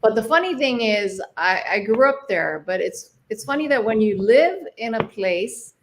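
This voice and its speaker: A woman's voice: on the high side, lively intonation, moderate pace, slight background noise. A middle-aged woman from Hawaii, a jazz artist speaking as a guest in a casual interview, thoughtful, with many fillers and restarts.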